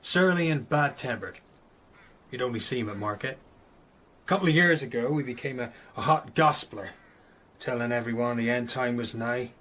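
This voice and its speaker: A man's voice: medium pitch, moderate pace, a bit bright, lightly acted. A man acting a plain rustic villager in a radio drama, a grim witness who talks in a blunt, matter-of-fact way. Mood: scornful, gossiping.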